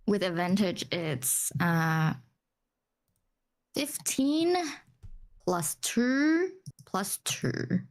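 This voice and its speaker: A woman's voice: lowish voice, very animated, extremely slow, long pauses. A woman chatting casually and enthusiastically as a player in a tabletop game. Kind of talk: casual chat during a game.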